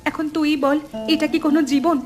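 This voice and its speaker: Woman as weary young daughter-in-law, plaintive, high voice, family cartoon, music underneath. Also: flat delivery, normal speed, a little mellow, natural voice. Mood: weary, bitter. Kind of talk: Bengali family cartoon dialogue.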